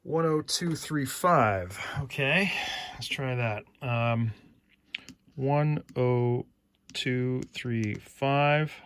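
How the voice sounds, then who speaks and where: A man's voice: medium pitch, very slow, clean tone. A man giving a hands-on technical demonstration, thinking aloud methodically as he works through each step. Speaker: electronics hobbyist demonstrator. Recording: steady background noise.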